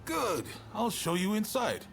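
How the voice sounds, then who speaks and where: A man's voice: high-pitched, wildly swooping pitch, a little slow, creaky, a bit bright, faint music behind, exaggerated voice. A man playing an affable, commanding shogunate military officer in a video game cutscene, hearty and persuasive. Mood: impressed, eager.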